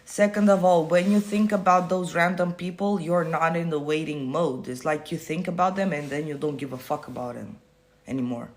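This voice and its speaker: A man's voice: fairly high pitch, normal speed. A man coaching viewers on a phone livestream, answering their comments in a blunt, casual way, swearing freely and repeating himself to make points land. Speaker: manifestation coach.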